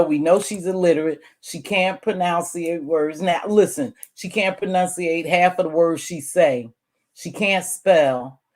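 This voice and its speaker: A woman with a hoarse voice, ranting at her online viewers in a casual, scolding way that swings between loud outbursts and low mutters. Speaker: online streamer. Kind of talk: heated casual rant to viewers.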